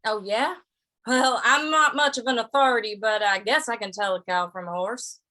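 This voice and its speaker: Woman playing hesitant, mild cowboy, bright high voice. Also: normal speed, poor audio. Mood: reluctant. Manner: hesitant, stammering, folksy. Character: mild, flustered, modest cowboy Britt, a man. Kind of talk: old-time radio western acting.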